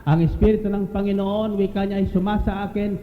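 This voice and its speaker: A man's voice: high voice, little pitch movement, a little fast. A man preaching a sermon, quoting scripture and often restating his phrases as he goes. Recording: tinny band-limited roomy audio with hiss.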